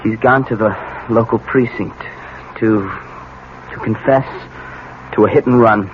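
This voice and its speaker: A man's voice: on the low side, a little slow, natural voice. An actor playing a guilty, evasive, defensive young man, a son, in a vintage radio drama. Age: young adult. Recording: dull old radio broadcast with faint noise.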